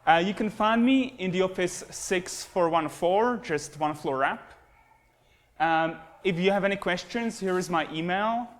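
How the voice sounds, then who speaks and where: A man's voice: sits high, measured pace. Young Slovak man lecturing in a classroom. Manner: relaxed, self-deprecating, with frequent fillers, pointing at slides. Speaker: guest lecturer, astronomy postdoc. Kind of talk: university lecture. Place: classroom with projector and computers. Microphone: camera at the back of the room.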